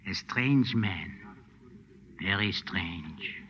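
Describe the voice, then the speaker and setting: An actor playing a gentle French hotel proprietor in a 1940s radio drama, hesitant and polite. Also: male voice, low-pitched, lively intonation, extremely slow, heavy background noise, heavily acted. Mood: polite, subdued. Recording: dull 1940s radio recording.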